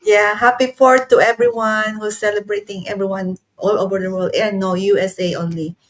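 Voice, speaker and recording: A woman's voice: mid-range voice, fairly even intonation, moderate pace, rough recording. Filipino woman saying hi on a live stream, lots of uhs. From Philippines. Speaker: guest. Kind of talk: live video chat.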